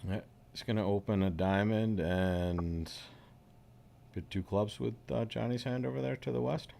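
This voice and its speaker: Deep-voiced man calling bridge hands. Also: gravelly, a little hiss or hum. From upstate New York. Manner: jokey, excitable, quick asides. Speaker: bridge commentary host. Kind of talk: live bridge commentary chat.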